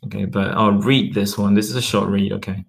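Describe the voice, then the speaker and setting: Low-voiced man rambling casually. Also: steady tone, gravelly. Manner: rambling, repeats okay, reads web text aloud slowly. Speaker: vlogger. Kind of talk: casual talk to camera.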